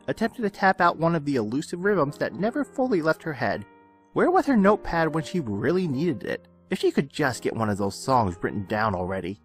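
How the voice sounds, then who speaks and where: A man reading a fan fiction story aloud in an expressive, dramatic storytelling manner. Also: on the high side, gravelly. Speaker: audiobook-style reader of fan fiction. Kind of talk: scripted story narration. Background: background music.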